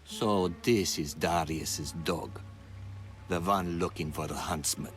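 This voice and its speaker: An actor playing a calm, taunting villainous hunter in a video game, menacing and mocking in manner. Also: male voice, sits low, slow, faint music behind.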